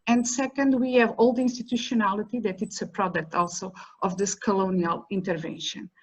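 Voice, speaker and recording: A middle-aged woman academic speaks at a roundtable in English, which is not her first language. Her delivery is measured and emphatic. She restarts phrases and repeats words as she lays out her argument. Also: medium pitch, fairly even intonation, husky. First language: a language other than English or Portuguese. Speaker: academic panelist. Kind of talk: roundtable talk.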